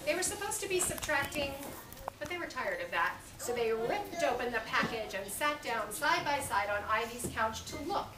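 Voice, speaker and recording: A woman's voice: on the high side, normal speed. A middle-aged American woman reading a children's story aloud expressively, projecting to young listeners. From California, US. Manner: animated, projecting to a young audience. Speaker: children's author reading at a library. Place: library reading area, indoors. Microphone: camera a few metres away. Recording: echoey, noisy room, distant camera mic.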